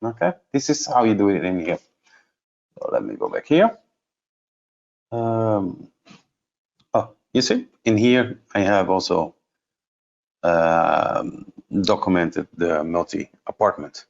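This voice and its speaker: A man giving an unscripted software demonstration as a trainer, explaining step by step and thinking aloud in a halting way. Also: low-pitched, very animated, gravelly, highs cut off. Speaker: trainer presenting a product course.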